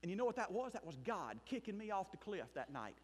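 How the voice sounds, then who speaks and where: High-voiced Mississippi preacher in an echoing hall. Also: male voice, very animated, quick pace. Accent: Southern US, Mississippi. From South Mississippi. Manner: emphatic, swings between loud bursts and hushed asides. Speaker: missionary pastor. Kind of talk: sermon with personal testimony. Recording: long hall reverb.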